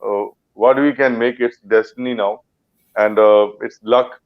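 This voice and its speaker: A man's voice: on the low side, steady tone, a little slow, dull audio. A man from Punjab with an Indian English accent, an actor chatting in an interview, rambling with fillers and restarts. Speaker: actor guest. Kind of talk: casual interview chat.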